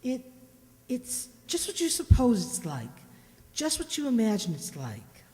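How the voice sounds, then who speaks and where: A woman playing a protective, gently firm country mother in a radio drama, measured and admonishing. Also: on the high side, wildly swooping pitch. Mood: gentle, guarded. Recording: hall-like long reverb.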